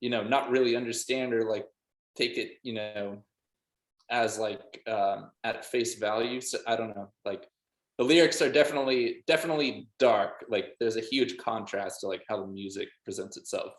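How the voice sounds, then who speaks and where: A man's voice: on the low side, moderate pace. A man in a band chatting casually in an interview, emphatic, saying "like" and "literally" constantly. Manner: emphatic, heavy use of "like" and "literally". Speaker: band musician being interviewed. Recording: roomy, echoey sound.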